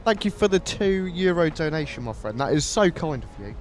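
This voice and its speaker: A man's voice: on the high side, sing-song, a little fast, darkish tone, soft background music. A man streaming a flight sim, chatting casually with viewers while flying, his nose stuffy from a cold. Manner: chatty, friendly, thanks viewers by name. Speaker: flight simulator livestreamer.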